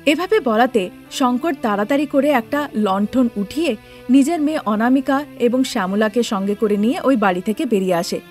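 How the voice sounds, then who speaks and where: A woman storyteller with a fairly high voice reads a scripted cartoon tale. Music plays under her narration. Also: moderate pace, darkish tone.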